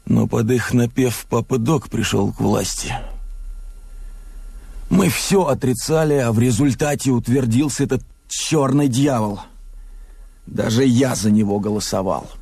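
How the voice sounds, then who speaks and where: An actor in a radio drama playing a bitter, self-mocking poet and would-be rebel, speaking in a reflective, confiding way. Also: male voice, medium pitch, measured pace, creaky, warm tone, slightly rough, dry recording. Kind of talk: Soviet radio drama acting.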